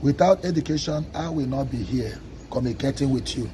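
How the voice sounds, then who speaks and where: A man from Nigeria with a Nigerian accent, an Igbo speaker, giving a measured teaching talk on a livestream and often checking in with "okay?". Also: medium pitch, mellow, a little hiss or hum. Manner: measured, stresses single words, asks listeners "okay?". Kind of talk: slow, deliberate teaching talk.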